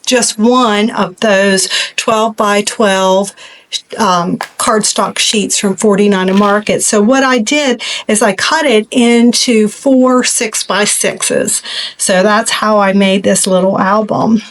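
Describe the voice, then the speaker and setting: A woman's voice: mid-range voice, takes it slowly. Chatty woman losing her voice. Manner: chatty, rambling, many ums and asides. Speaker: craft video host. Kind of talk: casual show-and-tell of crafts.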